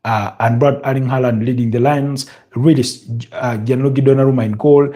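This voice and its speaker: Hesitant Ugandan man, mellow voice. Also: mid-range voice, steady tone. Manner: halting, many fillers and restarts. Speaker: football preview host. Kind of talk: casual live-stream football talk.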